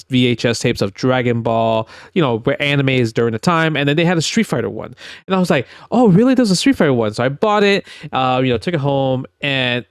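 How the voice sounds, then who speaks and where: A man with a medium-pitched voice, recorded dry and close, shares personal stories in casual podcast chat. He is enthusiastic and tends to repeat phrases as he goes. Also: clear, resonant tone, no room sound. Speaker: podcast host.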